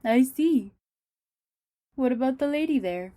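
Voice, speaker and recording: A woman's voice: high voice, lively intonation, measured pace, mellow. An actress playing a gracious, sociable woman who manages a care home in a radio drama, polite and effusive. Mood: cheerful greeting.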